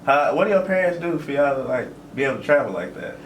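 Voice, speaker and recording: A man's voice: medium pitch, expressive, quick pace, recorded from a distance. A man hosting a casual podcast interview, talking in loose, joking slang and filling gaps with lots of "okay"s. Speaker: podcast host. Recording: roomy echo, off-mic, faint noise.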